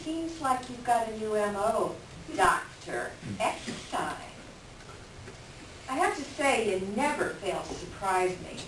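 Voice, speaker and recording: Lady playing hard-nosed scornful ex in a stage play, drawn-out biting delivery, hissy echoey recording. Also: mid-range voice, big pitch swings. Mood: hard, demanding. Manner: sardonic, biting. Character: scornful, hard-nosed ex-wife demanding a cut. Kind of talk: staged script reading. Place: echoey room, far from the mic. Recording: distant echoey room with hiss.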